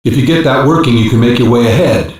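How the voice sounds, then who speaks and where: A man's voice: medium pitch, fast, lightly acted. A man playing a practical cyber-police hacker who gives instructive, matter-of-fact mission support in a sci-fi game. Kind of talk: English animated sci-fi game voice acting. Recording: very echoey, distant-sounding game audio.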